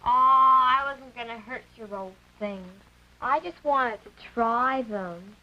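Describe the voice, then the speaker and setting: A woman's voice: fairly high pitch, slow pace, dull audio. A woman playing an eager, innocent American girl of about twelve in a 1950s educational film, speaking in an earnest, exclamatory way. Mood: defensive, curious.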